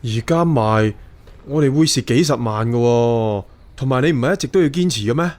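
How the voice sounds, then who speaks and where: A man's voice: medium pitch, a little fast, darkish tone, close to an everyday voice. An actor with a Hong Kong Cantonese accent playing a resigned young man weighed down by a mortgage, speaking conversationally in a radio drama. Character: young man, resigned mortgage-burdened would-be model maker.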